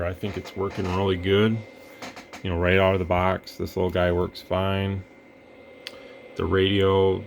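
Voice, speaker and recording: A man testing radio gear in a relaxed, rambling way, breaking into mic checks and counting. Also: low-pitched, creaky, a little hiss or hum. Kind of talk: casual product demo.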